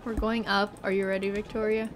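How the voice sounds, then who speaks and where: A young woman chatting excitedly with friends while playing a game, often gasping and laughing. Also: medium pitch, brisk, some chatter behind, a little hiss or hum. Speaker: player. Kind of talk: casual gaming chat. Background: game music.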